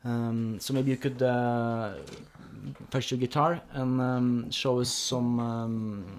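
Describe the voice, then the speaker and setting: A man's voice: medium pitch, level tone, slow. A man hosting an instructional guitar video in a conversational interview style.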